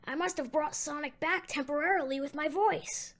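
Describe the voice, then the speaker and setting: A woman's voice: high-pitched, moderate pace, fairly bright tone. A woman playing a young, helpful boy fox inventor in an audio drama, earnest and youthful.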